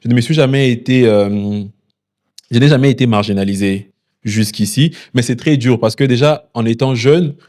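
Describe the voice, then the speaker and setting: Hesitant young man, dark voice. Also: mid-range voice. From DR Congo. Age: 20s. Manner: measured, with many hesitations. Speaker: founder and CEO of a delivery startup. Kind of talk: interview answers.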